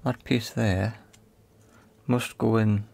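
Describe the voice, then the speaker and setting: Low-voiced man muttering through a repair. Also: fairly even intonation, takes it slowly, creaky, darkish tone. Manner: tentative, talks to himself while working. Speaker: repair video presenter. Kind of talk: hands-on repair commentary, thinking aloud.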